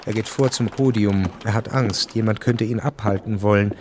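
An actor as the sober, literary narrator of a historical radio drama, telling it in a measured way. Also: male voice, fairly low pitch, fairly even intonation, a little mellow, slight background noise, natural voice.

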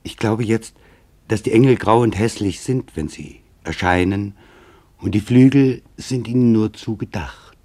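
A man playing a sombre reporter in a scripted radio play, narrating in a grave, measured, reflective way with a husky voice. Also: fairly low pitch, a little mellow. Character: sombre male reporter recounting a camp survivor's testimony.

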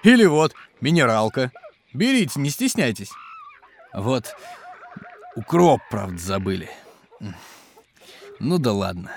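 An actor playing a hospitable, jolly geologist and cook at a polar station in a children's educational audio drama, with an animated, explanatory way of talking. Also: male voice, medium pitch, slow pace, gravelly, pauses often, slight background noise, exaggerated voice. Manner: animated, chuckling, explanatory, hearty.

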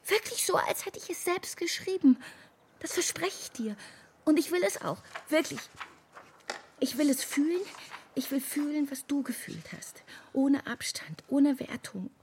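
An actress in a German radio drama playing an anxious, earnest, wistful woman with a breathy voice. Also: sits high, lively intonation, measured pace, slight background noise. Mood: earnest pleading.

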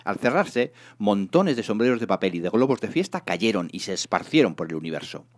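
A man with a fairly low voice reads a comic science fiction story aloud. His intonation moves up and down a great deal, giving the scripted narration an expressive, dramatic storytelling feel. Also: a little fast. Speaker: audiobook reader, narrator.

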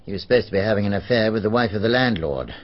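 An actor playing a plain-spoken man in a BBC radio drama who reports facts in a measured, matter-of-fact way. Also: lowish voice, animated. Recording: dull archive radio recording.

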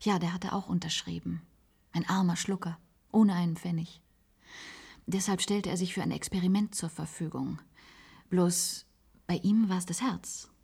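Husky, wistful resigned woman. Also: fairly low pitch, steady tone, moderate pace, long pauses, darkish tone. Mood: reflective. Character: wistful former secretary, resigned patient living in an isolated institute. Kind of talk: German science-fiction radio play acting.

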